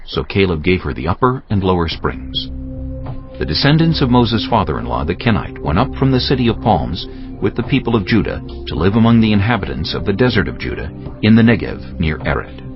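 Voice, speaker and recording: A man narrating scripture from a script in a measured, solemn storytelling manner. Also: low voice, very animated. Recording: dull lo-fi, music behind. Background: music.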